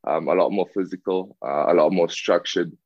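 Brief: young South African man, very low voice, hesitant rambling, dry dull lo-fi sound. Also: normal speed, darkish tone, no room sound. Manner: hesitant, many fillers and restarts. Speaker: rugby player guest. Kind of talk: casual interview answers.

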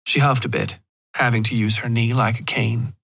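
A man's voice: medium pitch, level tone, normal speed, vocal fry, fairly bright tone, dry recording. A man reading scripted first-person horror fiction as a podcast narrator, close to the microphone. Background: faint music.

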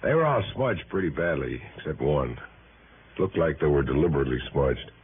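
An actor playing a matter-of-fact American police sergeant in an old radio detective drama, talking in a casual, halting cop style. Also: male voice, rumbling low, very animated, exaggerated voice. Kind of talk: 1950s-60s radio detective drama acting. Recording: muffled, noisy old radio broadcast.

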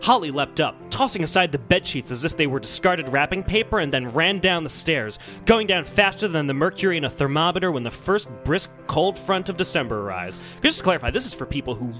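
A man hosting a comedy podcast, bantering casually and sometimes reading a story aloud in an excitable, mock-earnest way. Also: on the high side, brisk, hardly any pauses, faint music behind.

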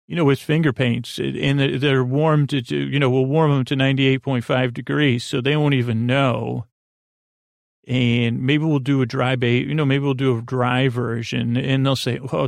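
A man rambles through a sleep-podcast monologue, losing his thread and starting over again and again. His voice has a gravelly rasp. It sounds dry and close, with no room around it. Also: dry recording. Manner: meandering, halting, full of fillers and restarts; deadpan mock product pitch.

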